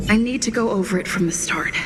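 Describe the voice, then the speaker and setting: A woman's voice: medium pitch, quick pace, noisy background, lightly acted. A woman playing a pensive investigator in a video game, musing to herself over clues. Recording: long reverb, background music.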